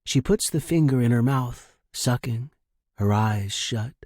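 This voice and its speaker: A man reading first-person fiction as a narrator, in a measured, deliberate and dramatic way. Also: medium pitch, a little mellow, no echo, studio quality. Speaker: story narrator.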